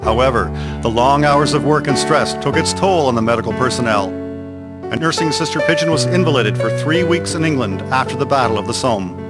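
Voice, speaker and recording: A man's voice: mid-range voice, a little fast. Male narrator over prominent guitar music. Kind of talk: scripted documentary drama narration. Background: prominent plucked guitar music.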